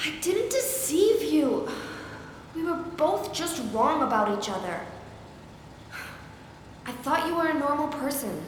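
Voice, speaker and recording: A woman's voice: high-pitched, very animated, a little slow, long pauses, very echoey, in a large hall, a little hiss or hum, low-quality recording. A woman playing a sardonic, defiant young heroine in a horror game cutscene, blunt and sarcastic. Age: young adult. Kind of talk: video game cutscene acting.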